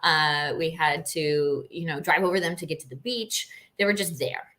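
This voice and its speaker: Interview guest: middle-aged woman from Costa Rica, forward bright tone, slightly low, casual animated storyteller. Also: moderate pace.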